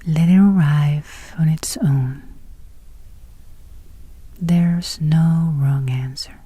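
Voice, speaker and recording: A woman guiding a meditation in a soft, breathy near-whisper, speaking gently and soothingly. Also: sits low, extremely slow, dry recording. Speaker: meditation guide. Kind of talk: guided meditation.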